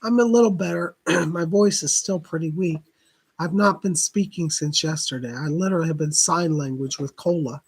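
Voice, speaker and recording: A man hosting a live psychic reading stream, hoarse from laryngitis, chatting casually and clearing his throat often. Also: on the high side, normal speed. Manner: chatty, greets viewers by name, keeps clearing his throat.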